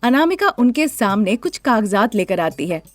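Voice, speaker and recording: A woman's voice: medium pitch, sing-song, moderate pace, faint music behind. A woman narrating a scripted moral story as the storyteller.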